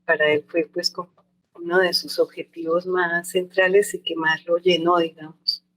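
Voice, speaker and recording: A woman's voice: on the low side, level tone, moderate pace, fairly bright tone, rough recording. An older woman, an anthropologist answering interview questions in a thoughtful, reflective way, often restarting and hedging as she remembers. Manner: thoughtful, with frequent restarts and hedges.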